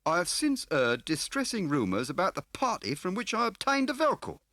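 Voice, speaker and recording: A theatrical, high-pitched shifty fat dealer. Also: male voice, very animated, normal speed, pushed and bright, no echo, clean studio sound, exaggerated voice. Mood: evasive, mournful. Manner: theatrical, wheedling mock-grandiose speech. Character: fat, boisterous, florid, shifty male car dealer. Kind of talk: audiobook character voice.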